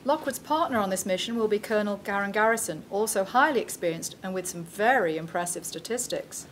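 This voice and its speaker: A woman's voice: medium pitch, expressive, a little fast, a little hiss or hum, natural voice. A woman playing a formal British newscaster in her fifties, in a parody newscast at a table read. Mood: brightly formal.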